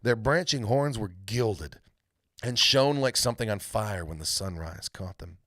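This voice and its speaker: A man reading a storybook aloud as a live host, narrating dramatically with deliberate pauses. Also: fairly low pitch, animated, pushed and bright, dry recording, studio quality. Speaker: read-aloud storyteller hosting a live stream.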